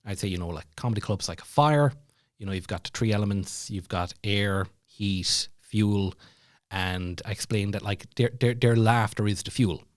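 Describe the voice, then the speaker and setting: A man's voice: fairly low pitch, moderate pace, no echo, clean studio sound. An Irish man chatting casually as a podcast guest, telling stories in a rambling, self-deprecating way. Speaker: podcast guest, former comedy club MC. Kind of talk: casual storytelling chat.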